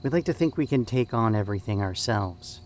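An actor playing an earnest church group leader, conversational and prompting with probing questions. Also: male voice, lowish voice, animated, a little fast, darkish tone, a little hiss or hum, lightly acted.

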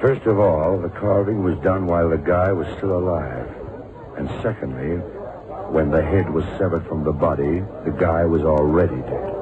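An actor playing a shrewd, wry investigator in a radio drama, speaking in a dry, unhurried, self-assured way. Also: male voice, low voice, crowd noise, lightly acted. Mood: assured, explaining. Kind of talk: 1960s-70s radio drama acting. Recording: narrow-band old radio recording with music.

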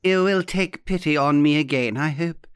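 A man's voice: fairly high pitch, no echo, clean studio sound, close to an everyday voice. A man voicing a sly, theatrical old aunt in an audiobook, suave and falsely sweet with a mocking edge. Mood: mock-sentimental, theatrical. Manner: suave, smooth, drawn-out delivery with satirical edge. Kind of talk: dramatic audiobook character reading.

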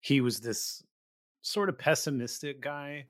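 A man's voice: mid-range voice, a little slow, dry recording, studio quality. A man hosting an interview podcast, reflective and searching for his words as he asks questions. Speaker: podcast host.

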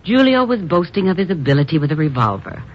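A woman's voice: fairly low pitch, sing-song, fast talker. An actress playing a poised, arch, flirtatious society woman in a 1950s radio drama. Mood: cool, haughty. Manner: formal, arch. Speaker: radio actor. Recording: muffled, hissy old radio recording.

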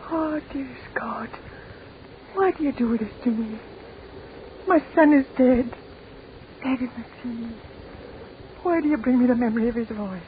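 A woman's voice: a little high, moderate pace, long pauses, natural voice. A woman playing an anguished, devout old Irish widow in a radio drama, her voice tremulous and pleading. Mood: anguished, fearful. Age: older. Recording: tinny, noisy old radio recording.

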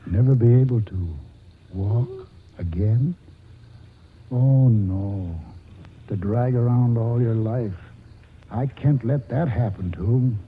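Slow, folksy old grandpa. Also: male voice, mid-range voice, breathy edge. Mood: anguished. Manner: folksy, homespun. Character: devoted, folksy old grandfather, plain-spoken and tender. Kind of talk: 1940s radio drama acting. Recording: muffled vintage 1940s radio broadcast.

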